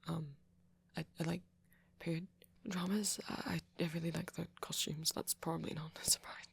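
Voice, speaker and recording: A woman voicing a shy, anxious, apologetic young-looking male vampire in an ASMR roleplay, speaking softly and hesitantly with nervous stammers and half-whispers. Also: low-pitched, little pitch movement, moderate pace, heavily acted. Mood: bashful, nervous. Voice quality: soft, breathy, often half-whispered. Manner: hesitant, stammering, self-conscious. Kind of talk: ASMR roleplay monologue to a listener.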